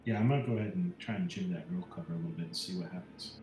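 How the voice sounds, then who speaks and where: A man's voice: low-pitched, rapid-fire, background noise. A man playing a tabletop roleplaying game, casually speaking as his character and thinking aloud hesitantly. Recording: roomy echo, music underneath.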